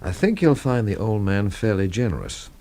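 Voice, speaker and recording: A man's voice: fairly low pitch, very animated, moderate pace, warm tone. An actor playing a cool, wry man in a radio drama, with a dry, understated and unruffled delivery. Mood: wry, easygoing.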